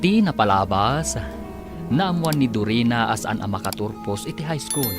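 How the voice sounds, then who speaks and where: Radio drama announcer over music. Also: male voice, medium pitch, animated. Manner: measured announcer delivery. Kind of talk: scripted radio narration.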